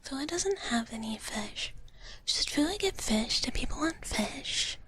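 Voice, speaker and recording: A woman whispering softly and breathily in a gentle ASMR livestream chat, close to the microphone. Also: sits high, lively intonation. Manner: slow, gentle, speaks of herself in third person as a dragon character. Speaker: live streamer hosting ASMR.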